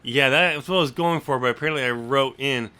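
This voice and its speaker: Male podcast host and writer, bright forward voice, mid pitch, relaxed conversational discussion. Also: a little fast, clean tone. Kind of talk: casual discussion.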